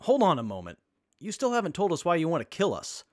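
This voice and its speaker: Man playing an animated, anxious young crewman. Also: a little high, fast, no echo, studio quality. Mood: anxious, insistent. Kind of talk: comedy audio drama acting.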